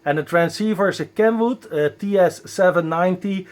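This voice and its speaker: A man with a Dutch accent working as an amateur radio operator, enthusiastic but halting, spelling out callsigns at a close microphone. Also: high-pitched. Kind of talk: ham radio contact, spelling callsigns phonetically. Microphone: close broadcast dynamic microphone.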